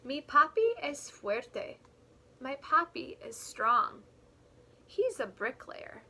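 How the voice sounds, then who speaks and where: A woman teacher reading a picture book aloud to young children, expressively and deliberately, pausing to explain things in simple words. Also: high voice, sing-song, bright, forceful tone. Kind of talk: read-aloud storytelling with explanatory asides.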